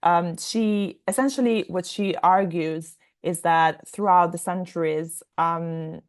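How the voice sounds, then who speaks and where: Lower-pitched young woman from Italy, reflective. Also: fairly even intonation, takes it slowly. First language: Italian. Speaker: interview guest, novelist. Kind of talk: conversational interview answers.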